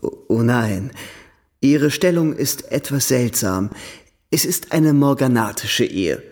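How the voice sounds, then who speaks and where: Exuberant young gentleman with lively swooping delivery. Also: medium pitch, slow pace. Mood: confiding, amused. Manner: polite, effusive, playfully theatrical. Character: charming, worldly, exuberant young European gentleman visitor. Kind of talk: radio drama acting.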